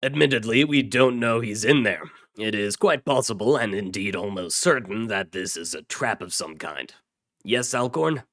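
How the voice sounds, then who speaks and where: A man's voice: on the low side, lively intonation, normal speed, a bit bright, no echo, clean studio sound. A man voicing a wry, commanding task-force leader briefing his team in an audio story. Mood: measured authority.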